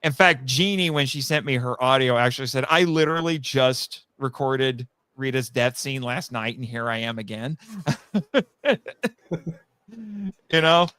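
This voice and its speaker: A man chatting casually as a guest on a live podcast, telling stories in a rambling, animated way and acting out quoted remarks. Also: medium pitch, smooth, dry recording. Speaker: guest, audio drama writer.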